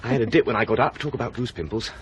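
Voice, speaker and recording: An actor playing a well-spoken English husband in a radio drama, clipped and dry, possessive and quick to irritation. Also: male voice, mid-range voice, a crowd in the background, lightly acted. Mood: teasing, tender.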